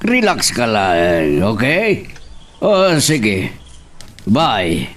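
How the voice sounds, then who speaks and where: A man's voice: fairly low pitch, wildly swooping pitch, extremely slow, a bit bright, a little hiss or hum, full character voice. A man acting a reassuring yet plotting small-town mayor in an Ilocano audio drama, with an Ilocano accent. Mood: reassuring, assured. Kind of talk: amateur Ilocano radio-style drama acting.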